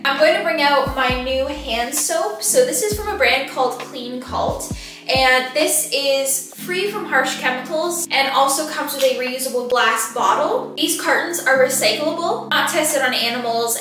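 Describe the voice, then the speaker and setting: A young woman in her twenties talking casually and upbeat while cleaning her house, filmed by a camera set up in the room. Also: high voice. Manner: chatty and upbeat, lots of "like" and "so". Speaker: lifestyle vlogger. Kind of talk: casual cleaning vlog narration. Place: rooms of a house, indoors. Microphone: camera on a tripod at a distance. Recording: echoey room with music behind.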